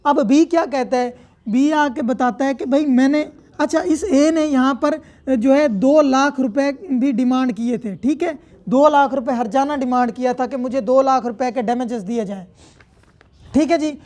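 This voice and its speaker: A woman's voice: high voice, moderate pace, a little mellow. A woman with a Pakistani accent giving an explanatory law lecture, mixing in English terms and often checking that listeners follow. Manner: didactic, repeatedly checks in with listeners.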